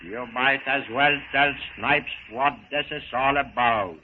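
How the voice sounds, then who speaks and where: An impatient gangland tough, acted by a man, gruff and insistent but slow in pace. The 1930s radio drama recording is tinny with faint noise. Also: medium pitch, close to an everyday voice. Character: impatient, tough male gang member. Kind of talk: 1930s radio serial acting.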